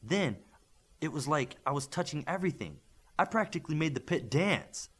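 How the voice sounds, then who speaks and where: A man voicing an eager, wryly friendly young male superhero in animated audiobook dialogue. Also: fairly high pitch, moderate pace, husky, pitched above the performer's own voice. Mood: excited.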